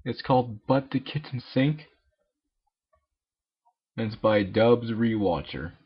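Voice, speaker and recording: Young man, husky voice, animated amateur story reading, jokey, slightly muffled recording. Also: medium pitch, takes it slowly. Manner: jokey, self-deprecating. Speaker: amateur fan fiction reader. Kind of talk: informal storybook reading with casual asides.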